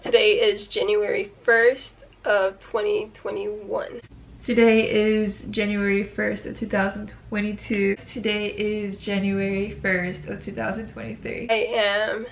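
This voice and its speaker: A woman's voice: mid-range voice, flat delivery, unhurried, vocal fry, echoey room. A woman in her twenties talking casually to the camera in a yearly check-in video, chatty and a bit hesitant, with frequent ums. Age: 20s. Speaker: YouTuber.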